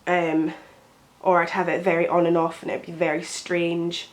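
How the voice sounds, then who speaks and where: A woman's voice: fairly low pitch, monotone, moderate pace. A young woman with a cold, sounding sniffly, chatting in a rambling way with frequent ums as she does her makeup for a get ready with me vlog at home. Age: young adult. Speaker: beauty vlogger.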